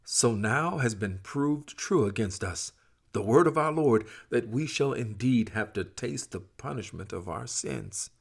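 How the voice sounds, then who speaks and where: A man's voice: mid-range voice, expressive, unhurried, creaky, breathy edge, heavily acted. A man voicing doomed sinners and scoffers in a dramatized scripture reading, exclamatory, anguished and accusing.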